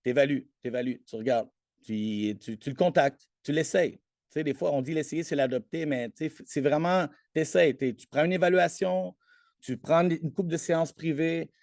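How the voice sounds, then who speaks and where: A man's voice: on the high side, moderate pace, gravelly, a little mellow, dry recording, studio quality. A man in his forties with a Québécois French accent, Spanish his first language, chatting as an interview guest, boastful and full of restarts. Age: 40s. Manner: boastful and self-promoting, many restarts. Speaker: guest, athletic trainer. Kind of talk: casual interview chat.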